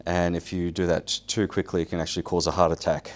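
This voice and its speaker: Male guest speaker, native Polish speaker, very deep voice. Casual, wry, self-deprecating storytelling. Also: level tone, fast talker. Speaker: guest speaker, survival show winner. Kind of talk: informal first-person storytelling to an audience.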